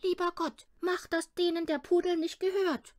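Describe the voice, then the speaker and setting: A woman as an earnest, high-voiced little boy. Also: little pitch movement, normal speed. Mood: pleading. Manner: earnest, pleading. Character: tender, anxious little boy attached to a puppy.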